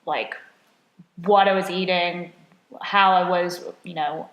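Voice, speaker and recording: A woman's voice: on the low side, fairly even intonation, takes it slowly, muffled recording. A woman co-hosting a casual podcast chat as a nutrition coach, chatty and self-deprecating, often laughing at herself. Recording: roomy echo.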